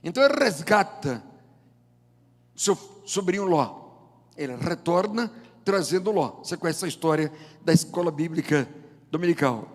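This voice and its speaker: A Brazilian man in his seventies preaching a sermon with deliberate, emphatic phrasing and rhetorical pauses. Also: fairly high pitch, lively intonation. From João Pessoa, Paraíba, northeast Brazil. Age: 70s. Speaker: pastor, preacher. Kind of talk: sermon with scripture reading and prayer. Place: large indoor church auditorium. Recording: long hall reverb of church auditorium.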